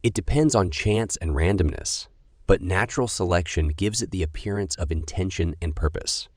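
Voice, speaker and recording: A man's voice: low-pitched, moderate pace, slightly rough, no echo, clean studio sound. A man reading scripted informational passages aloud as language test practice. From India.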